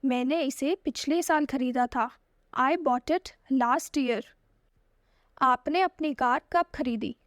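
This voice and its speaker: Very slow, high-voiced woman teacher. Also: no room sound, studio quality. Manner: very slow, deliberate English, quicker Hindi. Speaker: language teacher. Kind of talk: slow drill reading of phrase pairs.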